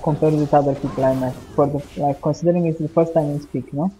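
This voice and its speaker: A man from Romania chats loosely over his game stream, his plain and his sentences looping through fillers and "you know?". His voice sits a bit high yet sounds soft and mellow. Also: fairly even intonation, fast, soft background music, low-quality recording. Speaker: gaming streamer. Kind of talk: casual chat while gaming.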